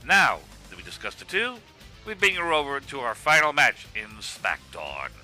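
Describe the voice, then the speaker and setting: A man's voice: mid-range voice, wildly swooping pitch, normal speed, bright, forceful tone, faint music behind, heavily acted. A man playing a brash wrestling commentator in an amateur web cartoon. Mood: hyped. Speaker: sports commentator.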